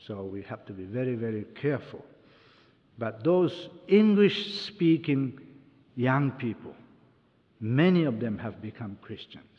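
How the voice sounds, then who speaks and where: Older male ministry worker, Japanese first language, slow and deliberate, addressing an audience; lo-fi hall recording. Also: mid-range voice, sing-song. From Taiwan. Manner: slow, deliberate, lists things one by one. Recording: dull lo-fi recording with long hall reverb.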